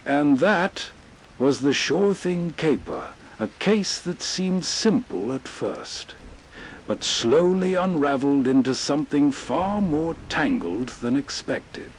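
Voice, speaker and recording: A man narrating softly and calmly late at night. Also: on the high side, slow pace, slight background noise, rough recording. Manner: calm, soothing.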